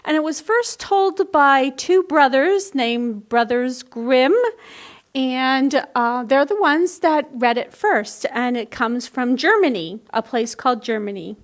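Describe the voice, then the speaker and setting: Woman children's storytime reader: high pitch, slow, expressive, pauses to explain simply. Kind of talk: reading a picture book to young children.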